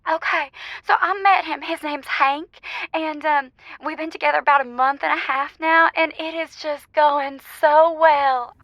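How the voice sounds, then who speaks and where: A woman's voice: high-pitched, steady tone, measured pace, no room sound. An actress voicing an anxious, lovesick young woman calling a radio show, fretful and earnest, through a dull phone-like line. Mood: earnest, exasperated. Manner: fretful, earnest, over-dramatic. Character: Sylvie, anxious, lovesick, gushing young female radio caller. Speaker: caller. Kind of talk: comedic radio drama phone-in.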